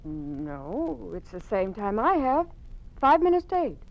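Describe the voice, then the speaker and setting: A woman's voice: medium pitch, very animated, a little slow. A woman playing a polite, attentive nurse in an old-time radio drama. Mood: startled, pleasant. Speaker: actor. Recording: dull, noisy old radio recording.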